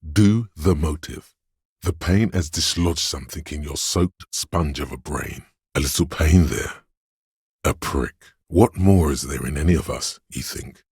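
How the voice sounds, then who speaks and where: A very deep, menacing inner pain. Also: male voice, darkish tone, slightly rough, no echo, studio quality, exaggerated voice. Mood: savoring, goading. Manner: brooding, deliberate, goading, theatrical. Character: inner voice of pain, male, menacing, darkly poetic. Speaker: video game narrator voicing a character's inner thought. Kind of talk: dramatic second-person game narration.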